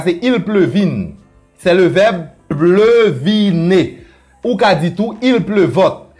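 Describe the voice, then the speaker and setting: Lively man teaching with a Haitian lilt. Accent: Haitian Creole. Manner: playful, drops to low asides and jumps high for quoted voices. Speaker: language teacher. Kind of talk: lively video lesson.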